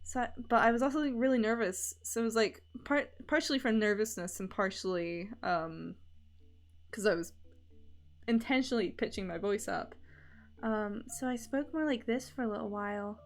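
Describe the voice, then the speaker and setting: A woman's voice: medium pitch, normal speed, faint music behind. A young woman from Scotland with a mixed Edinburgh and Canadian accent, chatting candidly and loosely as a streamer. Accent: mixed Edinburgh Scottish and Canadian. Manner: rambling and candid, with loose swearing. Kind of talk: casual stream chat.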